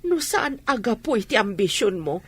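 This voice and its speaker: A woman acting a stern, worried mother in a radio drama, husky-voiced, with a Filipino accent. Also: medium pitch, big pitch swings, moderate pace, a bit bright. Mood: firm.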